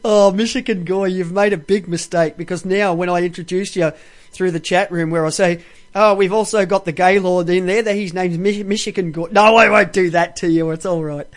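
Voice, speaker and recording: A man's voice: sits high, fairly even intonation, moderate pace. A talkative man hosting a casual live talk show in a rambling, coarse-mouthed way. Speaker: show host.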